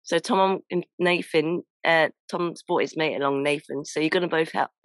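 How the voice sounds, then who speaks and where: A woman with a croaky voice from a sore throat, hosting a casual podcast interview, laughing often and apologising for her voice. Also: low-pitched, steady tone, moderate pace, dry recording. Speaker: podcast host.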